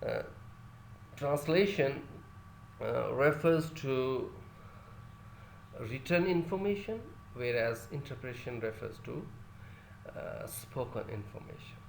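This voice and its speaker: A man's voice: mid-range voice, animated, slow, vocal fry, pauses often, echoey room, slight background noise, poor audio. A male lecturer whose first language is Urdu, teaching a class with many fillers and restarts and putting questions to his listeners.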